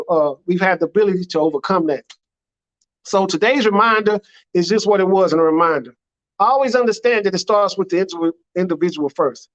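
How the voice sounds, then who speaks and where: An American man speaking as an imam, giving an earnest, plainspoken religious address that leans on repeated phrases. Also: high-pitched. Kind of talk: sermon, religious public address. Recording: dull, lo-fi recording.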